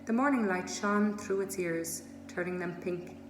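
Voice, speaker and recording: A woman's voice: mid-range voice, a little slow, over music. A woman reading a children's storybook aloud as a scripted narration. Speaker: storybook reader. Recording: echoey room with steady noise.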